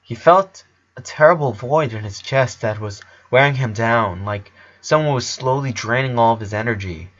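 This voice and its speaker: A man's voice: medium pitch, moderate pace, a bit bright, highs cut off. A man reading a story aloud as a dramatic narrator. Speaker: fan fiction reader. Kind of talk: dramatic audiobook narration with character voices.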